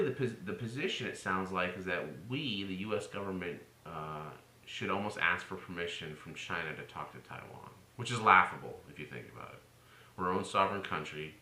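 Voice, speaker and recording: American guy doing opinionated political rant, low bright voice, echoey room. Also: lively intonation, moderate pace. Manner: opinionated, sarcastic, swings into mocking exclamations. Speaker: political commentator. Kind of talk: informal opinion monologue. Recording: roomy echo with faint noise.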